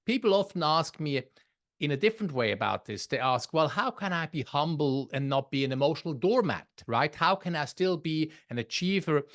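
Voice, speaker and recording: A man's voice: fairly high pitch, lively intonation, normal speed, a bit bright. A man with a Swiss accent, a podcast guest who explains his views in a thoughtful way and often restarts his phrases. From Switzerland. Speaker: podcast guest, leadership author. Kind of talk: conversational interview.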